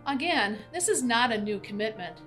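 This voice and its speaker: A woman's voice: fairly high pitch, lively intonation, normal speed, a bit bright. A middle-aged American woman giving a scripted announcement to camera indoors. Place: bright indoor room with large windows. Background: background music.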